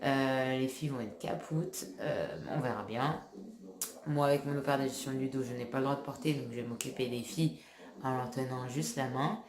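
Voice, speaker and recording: A woman's voice: a bass voice, normal speed, echoey room, a crowd in the background. A young woman from France with a stuffy head cold, chatting casually to her phone camera in a rambling way, full of fillers. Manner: rambling, many fillers and restarts. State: congested with a cold. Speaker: vlogger. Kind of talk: casual vlog talk to camera.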